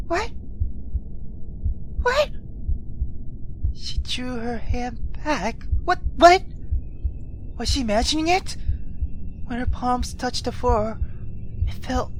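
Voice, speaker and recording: A woman reading a visual novel aloud as a let's player, expressive and dramatic, dropping into hushed asides. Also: on the high side, slight background noise, low-quality recording. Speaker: video game let's player.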